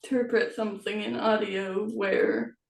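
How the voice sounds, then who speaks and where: A woman's voice: medium pitch, flat delivery, a little slow, gravelly. A woman author hosting an interview, talking casually about her book series in a hesitant way, often laughing between phrases. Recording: roomy, distant mic.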